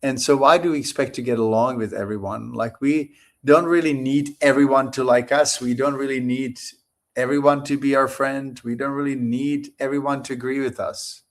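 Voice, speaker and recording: A man whose English carries a Czech lilt speaks with a gravelly, rough-edged voice of medium pitch. He is a veterinarian guest in a casual podcast conversation. He sounds reflective and pauses to search for his words. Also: steady tone. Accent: Czech-English blend.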